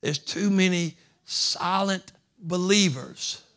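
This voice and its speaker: A man preaching a sermon in a slightly high voice. He talks in a folksy, repetitive way, moving from soft conversational stretches into loud, fervent preaching. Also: takes it slowly. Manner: folksy, repeats phrases. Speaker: pastor.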